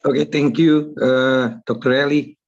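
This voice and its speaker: A man with an Indonesian accent, hoarse from a flu, asking a question in an online seminar with halting pauses and fillers. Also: mid-range voice, fairly even intonation, dull audio. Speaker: audience member asking a question.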